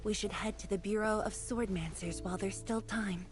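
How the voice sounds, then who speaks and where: A woman's voice: medium pitch, fairly even intonation, normal speed, lightly acted. An actress playing an earnest, dutiful young female companion in a sci-fi video game. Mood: earnest, serious. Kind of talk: scripted video game dialogue. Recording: background music.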